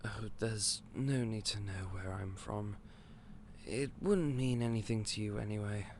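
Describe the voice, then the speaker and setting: A man playing an eccentric, otherworldly candy store owner in an audio roleplay, polite and gentle but a little scatterbrained. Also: fairly low pitch, a little slow, background noise. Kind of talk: scripted audio roleplay to the listener.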